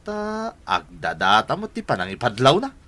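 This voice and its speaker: An actor playing a nosy, good-natured village buddy in an Ilocano radio drama, prodding with drawn-out words. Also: male voice, medium pitch, wildly swooping pitch, pushed and bright. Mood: curious, teasing.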